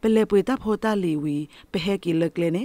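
A woman's voice: brisk, mellow, no echo. A woman radio news presenter reading a scripted bulletin.

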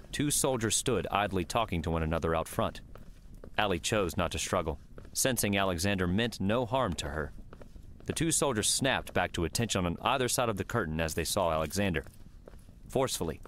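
A man's voice: sits low, fairly bright tone, a little hiss or hum. A man narrating a scripted story in the third person as an audiobook reader.

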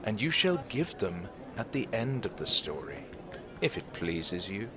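An actor playing a mysterious, sly old vagrant who sells stories, speaking in a deliberate, theatrical, teasing way in an audio drama. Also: male voice, on the low side, big pitch swings, pushed and bright, full character voice. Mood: sly, ominous.

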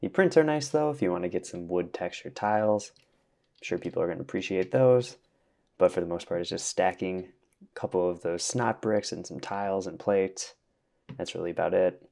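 Guy with a low gravelly voice chatting casually about a build set. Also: normal speed. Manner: relaxed, conversational, frequent "you know". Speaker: toy review vlogger. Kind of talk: casual product review.

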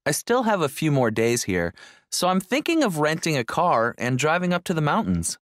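Lively, enthusiastic male traveller, acted by a man. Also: fairly high pitch, normal speed, no echo, clean studio sound. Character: enthusiastic male visitor enjoying his trip. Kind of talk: scripted small talk for an English listening exercise.

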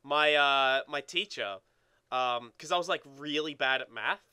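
A man's voice: medium pitch, measured pace, bright, forceful tone, clean tone. A young man in casual gaming banter as a let's play co-host, rambling with lots of "like" and swearing. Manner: rambling, filler-heavy with frequent "like".

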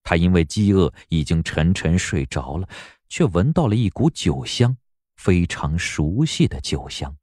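A man narrating a period audio drama in a measured, literary storytelling style. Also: low voice, animated, darkish tone, no room sound, studio quality.

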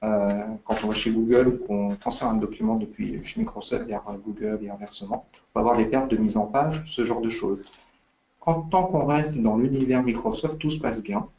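Gravelly-voiced man explaining in a webinar. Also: mid-range voice, normal speed, warm tone, low-quality recording. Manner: hesitant, many fillers and restarts. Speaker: invited expert speaker.

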